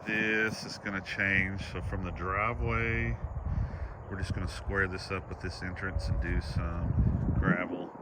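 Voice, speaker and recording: Low-voiced man with a stuffy nose. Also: flat delivery, measured pace. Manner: easygoing, matter-of-fact, rambling. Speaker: vlogger. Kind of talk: casual walkthrough chat. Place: outdoors on a rural property. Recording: outdoor noise behind the voice.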